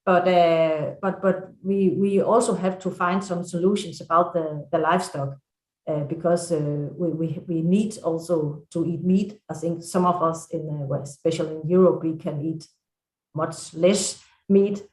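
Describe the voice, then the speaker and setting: Female panel speaker, Danish accent and first language, lowish pitch, halting unscripted delivery, strong room echo. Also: steady tone, a little mellow. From Denmark. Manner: halting, searching for words. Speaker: farmers' council vice president, panel speaker. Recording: echoey room.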